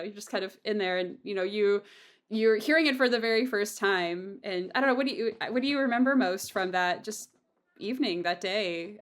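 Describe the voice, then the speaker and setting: A woman's voice: medium pitch, fairly bright tone. A woman talking casually and reflectively, earnest and effusive, often circling back and repeating herself as she goes. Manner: earnest, effusive, halting with self-repetition. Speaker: audio drama creator and host.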